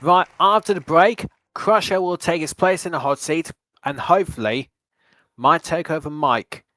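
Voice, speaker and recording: A man hosting a quiz show with measured, formal delivery that sometimes restarts its phrases. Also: a little high, bright, forceful tone, dry recording.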